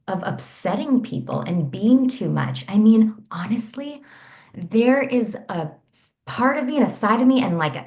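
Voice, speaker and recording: A woman guest on a podcast, a coach for women, talking candidly about her past in a soft, reflective way with many small restarts. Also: medium pitch. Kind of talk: candid personal storytelling.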